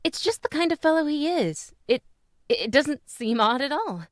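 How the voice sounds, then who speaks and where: A woman playing an earnest, flustered young mother with a halting manner in an old-time radio drama. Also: high voice, very animated, no room sound, studio quality. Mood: flustered, defensive.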